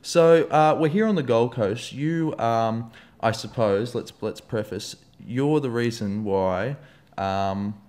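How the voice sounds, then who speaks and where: A young man with an Australian accent hosting a casual podcast interview, loose and jokey and quick to swear. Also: medium pitch, darkish tone. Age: young adult. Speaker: podcast host.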